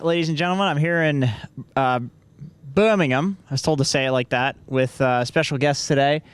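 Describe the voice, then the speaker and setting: A man casually interviewing a player, playful and halting with lots of filler words. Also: fairly high pitch, smooth, faint music behind.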